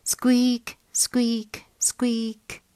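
A woman voicing a busy, dismissive little gray mouse in a children's storybook, with breathy squeaks. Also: completely flat delivery, drawn-out words, dark tone, no echo.

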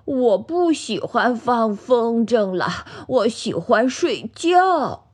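A woman's voice: high-pitched, sing-song, drawn-out words, breathy edge, voice pushed up, exaggerated voice. A woman voicing a sleepy, stubborn young bear cub in a children's storybook, mumbling and sulky.

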